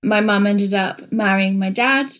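A woman's voice: medium pitch, a little slow, a bit bright. Chatty young English woman co-hosting. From England. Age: young adult. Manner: open, chatty, many fillers. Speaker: podcast co-host. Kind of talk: casual podcast chat.

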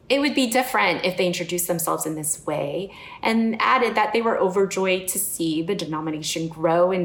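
A woman from the United States preaching a sermon in an earnest, personal and reflective way. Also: fairly low pitch. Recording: echoey room.

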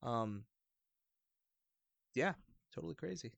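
A man's voice: medium pitch, expressive, slow, gravelly, a bit bright, dry recording. Man chatting keenly, dry close sound. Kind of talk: casual chat about a video game.

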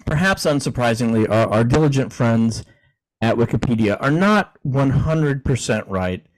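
A man co-hosting a podcast in a relaxed, chatty way, reading definitions aloud and chuckling now and then. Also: medium pitch, normal speed, low-quality recording. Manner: easygoing, chuckling, frequent restarts. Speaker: podcast co-host.

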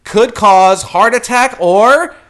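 A man's voice: high voice, takes it slowly. A man from New Jersey, a guest on a casual podcast, telling a story and acting out the voices of the people in it. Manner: animated storyteller who acts out quoted voices.

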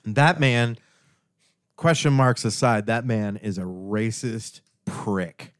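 A man's voice: lowish voice, slow, no room sound, studio quality. A man in his forties hosting a casual podcast chat, ranting heatedly and swearing often. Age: 40s. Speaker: podcast host.

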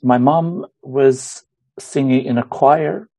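Romanian-accented man, middle-aged, halting and searching for words, mellow tone, dry close sound. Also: mid-range voice, no echo, poor audio. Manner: hesitant, many pauses and fillers. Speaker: guest musician. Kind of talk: casual interview.

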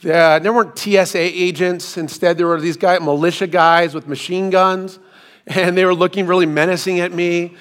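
A hoarse middle-aged man giving a sermon, telling a personal story with self-deprecating asides, speaking into a hands-free microphone. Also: high-pitched, fairly even intonation, moderate pace, fairly bright tone. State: hoarse, recovering from lost voice. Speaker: pastor.

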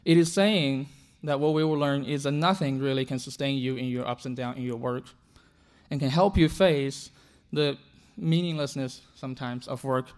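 A man's voice: medium pitch, steady tone, moderate pace. A man from China, whose first language is Chinese, preaching a sermon earnestly, often restarting and repeating words. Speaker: pastor.

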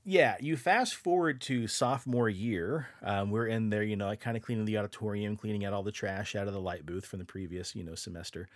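A young man on a podcast, easygoing and chatty as he spins a story, with a low voice. The sound is dry and close to the mic. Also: fairly quick, hardly any pauses, no echo. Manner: laughs often, swears casually, relaxed storyteller. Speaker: podcast co-host. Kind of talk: casual storytelling chat.

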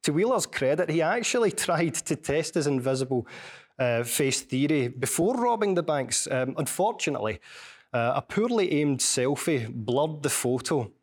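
A man's voice: medium pitch, lively intonation. A man with a strong Glasgow accent gives a university seminar, telling stories and reading a passage from his book. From Scotland. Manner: storytelling, measured, with small restarts. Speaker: lecturer and author.

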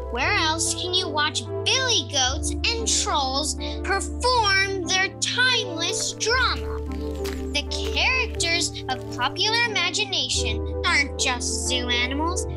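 A proud, obsessive lady mad scientist, acted by a woman. Her voice is very high and her pace measured, with grandiose, theatrical audio drama delivery over music. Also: exaggerated voice.

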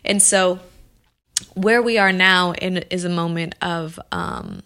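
A woman's voice: lowish voice, wildly swooping pitch, slow pace, sharp tone. A woman who grew up in Los Angeles, speaking as an immigrant rights advocate in an earnest, impassioned conversation. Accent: Los Angeles American English. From Colombia. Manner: impassioned, restarts phrases, builds to emphatic peaks.

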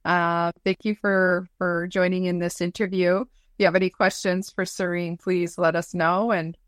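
Female interview host, lower register, effusive and conversational, very dry close sound. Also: level tone, normal speed, no echo. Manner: effusive, affirming. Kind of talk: casual, conversational interview hosting.

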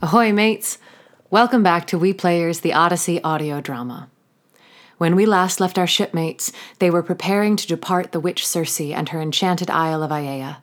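Lower-pitched woman narrating. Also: normal speed. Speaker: narrator and host of an audio drama. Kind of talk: scripted narration, story recap.

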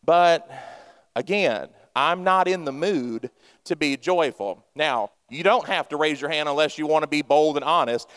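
A man's voice: a little high, measured pace, fairly bright tone, clear, resonant tone. A man in his thirties preaching a humorous sermon, playful and self-mocking, talking straight to his congregation. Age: 30s. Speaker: pastor. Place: church sanctuary. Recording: long church hall reverb.

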